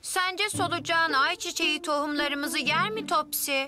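A woman's voice: high voice, a little slow. A woman playing an earnest, competitive little boy twin in a dubbed children's TV drama, with a childlike manner. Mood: worried. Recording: music underneath.

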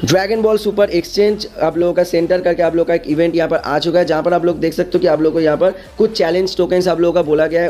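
A man's voice: on the high side, mellow. An Indian man giving a casual explainer commentary as a gaming video creator, peppering his talk with English words and repeated verbal tags.

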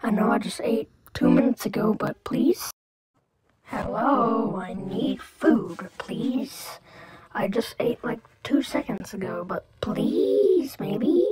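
A woman's voice: expressive, a little mellow, low-quality recording. A woman voicing a whiny, ever-hungry pet dog in a home-made comedy, dramatically begging through a voice changer app. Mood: pleading. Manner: begging, dramatic, drawn-out pleas. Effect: pitch-altering voice changer app.

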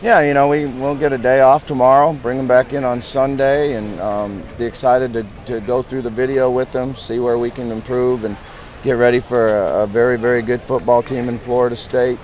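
A man in his fifties, a head football coach, answering reporters outdoors at a stadium in a plain, measured way with lots of "you know" fillers. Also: mid-range voice, fairly even intonation, darkish tone, a little hiss or hum. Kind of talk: post-game press interview.

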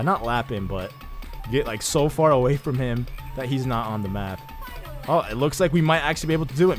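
A young man giving casual, excitable let's-play commentary over his gameplay, chatting with jokey asides. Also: mid-range voice. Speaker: gaming YouTuber.

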